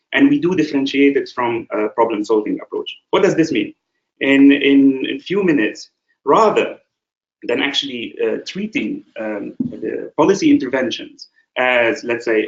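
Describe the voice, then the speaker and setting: A man, an associate professor, giving a webinar presentation in a halting way, with frequent filler sounds and rhetorical questions. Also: medium pitch. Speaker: associate professor and program coordinator. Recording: roomy, dull lo-fi webinar audio.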